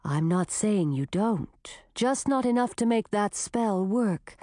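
A woman voicing a female forest spirit who speaks in a calm, measured and knowing way. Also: mid-range voice, dry recording, clean studio sound.

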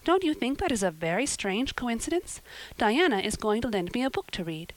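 A woman playing a young girl in a storybook reading, breathless and gushing, dreamy and dramatic, voice riding high and swooping widely, close dry sound. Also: big pitch swings, brisk, dry recording, poor audio. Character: eager talkative orphan girl, dreamy and dramatic.

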